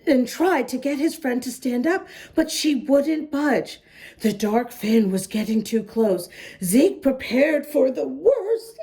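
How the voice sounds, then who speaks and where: High-voiced woman reading a storybook, very animated. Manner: animated, deliberate, with asides to little listeners. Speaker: children's picture book reader. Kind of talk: expressive read-aloud storytelling for young children.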